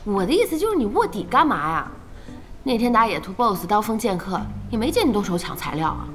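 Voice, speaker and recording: A woman's voice: mid-range voice, sing-song, fairly quick, fairly bright tone. A woman in an audio drama playing an outspoken, impatient young gamer who is chatty and nagging. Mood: nagging, puzzled. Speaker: voice actor in a radio drama. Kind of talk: animated audio drama dialogue. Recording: faint music behind the voice.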